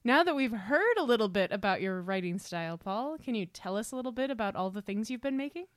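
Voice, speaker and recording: A woman's voice: on the high side, animated, fast, no echo, studio quality. A woman hosting a casual podcast interview in a playful, chatty way. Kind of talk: casual, joking interview chat.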